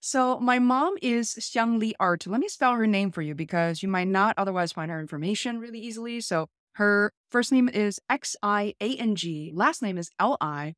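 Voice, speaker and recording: A woman's voice: mid-range voice, animated, moderate pace, few pauses, no room sound, clean studio sound. A woman around her forties hosting a conversational tech demo from a room at home. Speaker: YouTube host. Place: small home room, indoors.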